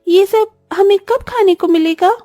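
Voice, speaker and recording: Woman voicing a high-pitched whiny little orphan girl. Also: unhurried, mellow, dry recording, clean studio sound, soft background music. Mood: whiny, plaintive. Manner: whiny, complaining, pleading. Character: poor orphaned little girl, plaintive younger sister. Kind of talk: Hindi cartoon story voice acting.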